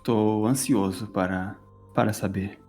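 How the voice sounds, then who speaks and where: A man's voice: lowish voice, steady tone, unhurried, darkish tone, faint music behind. A man with a Brazilian accent playing a tabletop role-playing game, improvising casually as his character.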